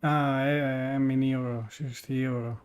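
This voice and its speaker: A man's voice: mid-range voice, little pitch movement, normal speed, clear, resonant tone, soft background music. A man giving casual live-stream commentary on games, hesitant and searching for words in non-native English. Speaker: game streamer. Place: indoors, live stream over game music.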